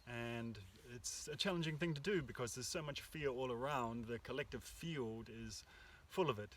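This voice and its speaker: A man co-hosting a workshop, talking in a calm, reflective and hesitant way, recorded outdoors in the countryside. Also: medium pitch, moderate pace, far from the mic, slight background noise. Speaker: workshop co-host. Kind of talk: casual spiritual talk. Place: outdoors in the countryside under an oak tree.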